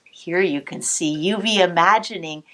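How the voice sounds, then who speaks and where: A woman cantor reading a picture book aloud to children, pausing to explain the pictures, sometimes dropping to a whisper. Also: lowish voice. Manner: slow, expressive storybook reading with soft whispered asides. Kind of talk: read-aloud storytime with asides to kids.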